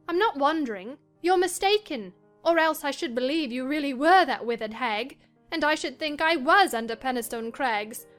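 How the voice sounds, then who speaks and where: A woman acts out a feverish young gentlewoman in a dramatized classic novel. Her voice is very high and theatrical, drifting from dreamy murmuring to anxious, fearful outbursts, with music underneath.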